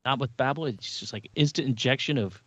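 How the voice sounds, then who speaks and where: A man's voice: medium pitch, fairly quick, no room sound. A man co-hosting a podcast, chatting casually with wry, dry sarcasm. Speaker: podcast co-host. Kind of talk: casual chat.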